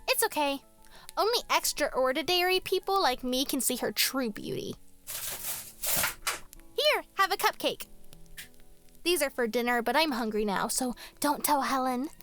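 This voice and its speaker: A woman's voice: high-pitched, expressive, a little slow, long pauses, a bit bright, soft background music. A woman voicing a vain, spoiled young girl, snobbish and petulant, in a horror radio drama. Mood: haughty.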